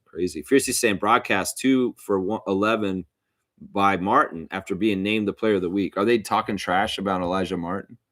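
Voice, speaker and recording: Low-voiced man calling basketball, close dry sound. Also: moderate pace, a bit bright, no room sound. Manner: informal, opinionated fan talk. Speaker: host and amateur play-by-play commentator of a livestream watchalong. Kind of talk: live basketball commentary mixed with casual chat to viewers.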